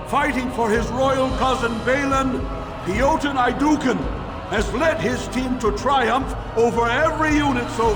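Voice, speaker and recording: Reverb from a vast hall carries a dwarf arena master from a fantasy game. He declaims formally and grandly at an unhurried pace, pitched very high for a man. Music plays behind him. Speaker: arena announcer. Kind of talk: theatrical fight announcing in a fantasy game.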